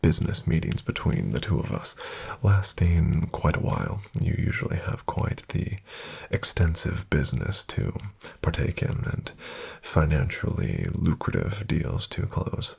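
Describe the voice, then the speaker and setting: A man playing a suave, flattering financial advisor in a soft-spoken ASMR roleplay, hushed, courteous and unhurried, often near a whisper. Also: very deep voice, creaky, breathy edge, exaggerated voice. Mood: welcoming, courteous. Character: suave, flattering male financial advisor to wealthy elite clients.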